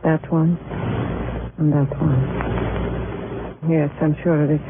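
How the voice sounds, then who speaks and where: An earnest young Spanish-speaking suspect in a 1940s radio drama. She answers carefully and haltingly. The old recording is narrow and clearly noisy. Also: low-pitched, level tone.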